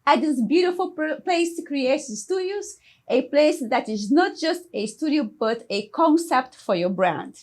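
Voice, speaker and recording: Brazilian-accented female host, higher pitch, halting studio interview delivery. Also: lively intonation. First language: Portuguese. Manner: halting, searches for words.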